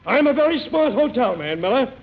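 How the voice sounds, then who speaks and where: An actor playing a blustering, self-important hotel manager in a 1940s radio comedy, bossy and pompous. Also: male voice, extremely high pitch, sing-song, a little fast. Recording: dull 1940s radio broadcast.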